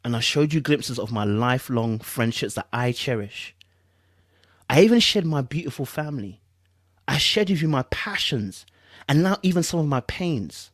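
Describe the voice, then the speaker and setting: A man's voice: medium pitch, lively intonation, moderate pace, dry recording, studio quality, lightly acted. A man giving a mock dramatic reading as a wounded, self-important man, theatrical, pompous and emphatic. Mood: solemn, wounded.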